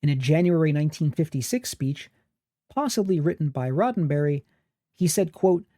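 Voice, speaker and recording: A man hosting a history podcast, reading scripted narration in a measured, analytical and critical way. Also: on the high side, lively intonation, dark tone, no room sound, clean studio sound. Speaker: podcast host.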